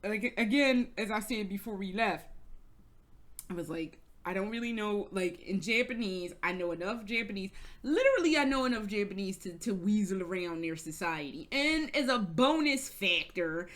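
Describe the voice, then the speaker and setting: A woman's voice: medium pitch, expressive, normal speed, fairly bright tone. Casting: American woman vlogger, casual and rambling, swears freely, lots of "like"s, restarts sentences. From United States. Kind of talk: casual storytelling vlog. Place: indoors, travelling in Germany.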